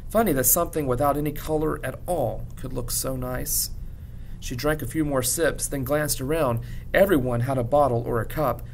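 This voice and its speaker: A man narrates a children's story in a classroom read-aloud style. His voice sits at a middle pitch. A noticeable low rumble runs behind the recording. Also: moderate pace, poor audio. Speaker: teacher reading a book aloud to students. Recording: clear background rumble noise.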